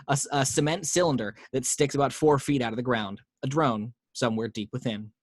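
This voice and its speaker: A man at home reading screenplay action lines over a video call, steady with small slips. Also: medium pitch, no room sound. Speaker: table read host reading action lines. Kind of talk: script narration.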